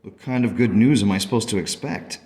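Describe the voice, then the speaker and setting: Actor as a deep-voiced grieving father, reverberant. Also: darkish tone, lightly acted. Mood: bewildered, suspicious. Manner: halting, earnest, sorrowful. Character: grieving, devoted father recounting his daughter's illness. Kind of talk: first-person radio play narration. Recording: long reverb.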